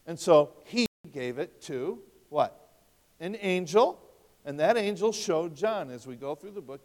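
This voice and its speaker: A man in his fifties preaching an expository sermon, talking conversationally and asking his listeners rhetorical questions. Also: a little high. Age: 50s. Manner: conversational, rhetorical questions, wide swings in loudness. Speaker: pastor. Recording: long hall reverb.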